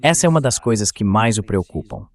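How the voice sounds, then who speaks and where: A man's voice: lowish voice, sing-song, fast talker. A man with a Brazilian accent reading a translated voice-over of political news commentary as the show's host.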